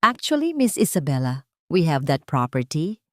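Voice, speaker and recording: An eager rich businessman, voiced by a female narrator makes a smooth, greedy pitch. It is dramatic storytelling in a dry, close recording. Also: sing-song, moderate pace, fairly bright tone, dry recording. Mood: eager, persuasive.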